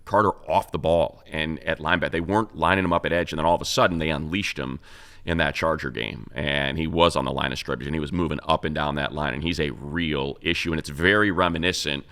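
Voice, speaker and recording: A man's voice: extremely low pitch, quick pace, pushed and bright. A man co-hosting a sports talk show, giving casual, conversational football analysis and thinking aloud as he goes.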